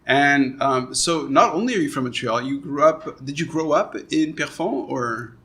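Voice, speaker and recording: Male podcast host, bright tone, jokey and conversational. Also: a little high, expressive, moderate pace. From Quebec, Canada. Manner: jokey, chatty, thinks out loud with fillers. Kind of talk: casual conversation.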